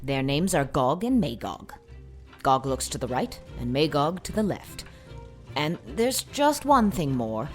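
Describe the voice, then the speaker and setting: Proud, plain-spoken old spinster played by a woman; low, very animated delivery for storybook drama. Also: unhurried, soft background music. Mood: firm. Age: older. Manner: emphatic, decisive, plain-spoken. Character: proud, brisk, decided old spinster lady. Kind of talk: dramatized audiobook acting.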